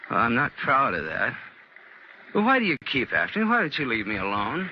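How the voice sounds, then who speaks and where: An actor playing a haunted, fearful fugitive in an old-time radio drama, speaking in a hesitant, troubled, confessional way. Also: male voice, medium pitch, wildly swooping pitch, brisk, noisy background. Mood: pleading, uneasy. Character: haunted, fearful fugitive man hiding among sailors. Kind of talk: old-time radio drama acting with first-person narration. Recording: muffled vintage radio recording, loud music.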